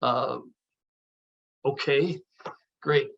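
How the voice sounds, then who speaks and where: A man's voice: mid-range voice, fairly even intonation, extremely slow, gravelly, a bit bright, lo-fi sound, heavily acted. A man playing a brash, teasing buddy in a comedy table read. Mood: covering awkwardly. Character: brash, teasing male buddy, inappropriate but quick to cover.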